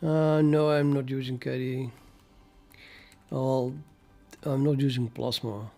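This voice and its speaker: An older Dutch-accented man chats with his viewers while coding, in a gravelly, creaky voice with a mid pitch. He muses and stumbles over his words, filling gaps with uh and the odd quiet chuckle. Soft music plays faintly behind him. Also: level tone. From Netherlands. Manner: musing, hesitant, halting with many fillers, chuckles to himself. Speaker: programming livestreamer. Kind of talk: casual livestream chat while coding.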